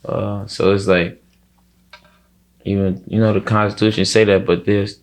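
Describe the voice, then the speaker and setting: Low, gravelly young Chicago man. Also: level tone, measured pace. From Chicago, USA. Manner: rambling, heavy slang and swearing. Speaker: rapper being interviewed. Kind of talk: casual conversational interview.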